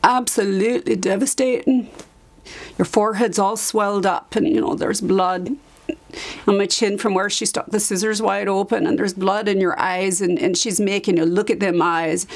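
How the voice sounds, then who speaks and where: A woman acting out a dramatized monologue as an anguished asylum survivor recalling her past, halting, with emphatic repetitions. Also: mid-range voice, steady tone, lightly acted. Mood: defiant, anguished. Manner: halting, emphatic repetition, sudden drops to whisper. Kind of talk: dramatized monologue, confessional testimony.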